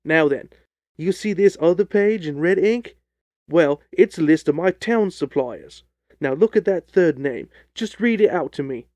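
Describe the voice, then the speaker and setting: Man voicing a loud, surly Victorian market salesman. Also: on the high side, animated, measured pace, no room sound, pitched above the performer's own voice. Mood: smug, insistent. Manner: blustering, combative. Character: surly, cocksure Victorian poultry salesman, quick to anger. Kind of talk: audiobook character voice.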